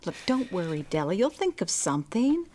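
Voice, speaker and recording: An actress playing a kindly, practical neighbor in a radio drama, soothing and gently reasoning with a worried friend. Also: female voice, lowish voice, big pitch swings, brisk. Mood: consoling. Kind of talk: stage-style radio drama acting.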